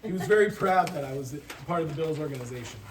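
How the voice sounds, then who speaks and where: Male actor as shameless smug ex, lively higher voice, comedy table read, roomy, distant mic. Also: fast talker, natural voice. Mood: cocky. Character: cocky, shameless ex-boyfriend, sleazy charmer. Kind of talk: live comedy script reading. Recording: echoey, distant mic, loud noise.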